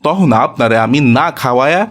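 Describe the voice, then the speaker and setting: A man's voice: mid-range voice, lively intonation, fairly quick, fairly bright tone, lightly acted. A man playing a poor, hospitable village labourer and father with a rural Bangladeshi accent in a moral cartoon, talking in an earnest, folksy way. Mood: insistent, hospitable. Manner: earnest, folksy colloquial talk. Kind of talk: Islamic moral cartoon dialogue.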